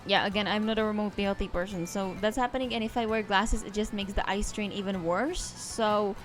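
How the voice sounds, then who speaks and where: A woman's voice: mid-range voice, steady tone, fairly quick, soft background music. Chatty woman streamer. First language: Czech. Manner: rambling and chatty, trails off into asides. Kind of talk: casual let's-play commentary.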